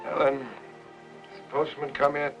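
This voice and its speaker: An actor with an American accent playing an ailing, curt husband in a mid-century film noir. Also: male voice, on the high side, monotone, normal speed, close to an everyday voice. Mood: curt, weary. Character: ailing, controlled, secretive husband. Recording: dull vintage soundtrack, music behind.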